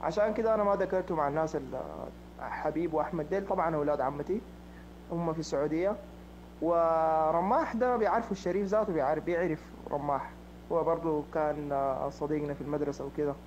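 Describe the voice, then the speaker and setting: A man's voice: on the high side, fast talker, a little mellow, muffled recording, slight background noise. A man from Sudan with a Sudanese Arabic accent, talking earnestly and repeating himself in a voice message. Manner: earnest, repetitive. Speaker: prank victim. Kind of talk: casual voice message.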